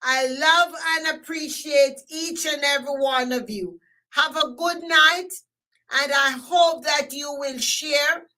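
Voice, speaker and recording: A woman with a Jamaican accent hosting a live stream, talking loudly and emphatically and repeating herself as she signs off. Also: high-pitched, slow pace, rough recording. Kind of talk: casual live stream sign-off.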